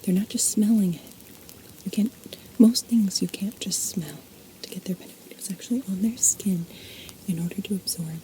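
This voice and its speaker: A woman whispering softly in an ASMR video, chatting and wandering off on tangents as she explains things. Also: mid-range voice, normal speed, a little hiss or hum, rough recording. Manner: soft unvoiced whisper, chatty and digressive. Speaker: ASMR host.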